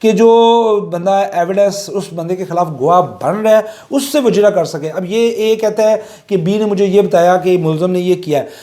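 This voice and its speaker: A man's voice: high voice, moderate pace, hardly any pauses, warm tone. A middle-aged man with a Pakistani accent gives an informal, conversational lecture, explaining with everyday examples and mixing in English legal terms. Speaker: law lecturer.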